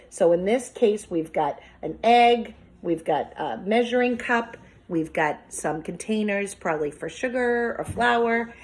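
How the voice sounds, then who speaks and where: A woman with a medium-pitched voice talks like a teacher leading a read-aloud. She explains as she goes in an instructive way, addressing her listeners like a class of children. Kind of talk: picture-book read-aloud with commentary and questions to children.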